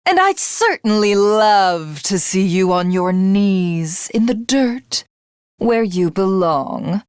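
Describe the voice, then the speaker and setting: A woman's voice: mid-range voice, lively intonation, slow pace, clear, resonant tone, no echo, studio quality. A woman voicing a haughty, sadistic alien villainess in a video game, obsessively lovestruck and self-glorifying, with a grandiose, condescending, theatrical delivery. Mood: smug, taunting.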